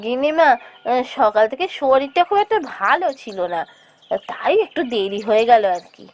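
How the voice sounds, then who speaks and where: Village housemaid and wife for a cartoon, acted by a woman: high, caring, fretful, deferential. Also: animated, normal speed, soft background music. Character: caring, worried village wife working as a maid. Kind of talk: cartoon voice acting.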